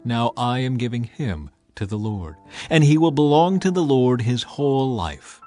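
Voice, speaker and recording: A man voicing a devout mother in a dramatized scripture reading, reading her prayer slowly and fervently. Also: mid-range voice, expressive, warm tone, faint music behind, lightly acted. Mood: earnest. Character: grateful devout mother praying aloud.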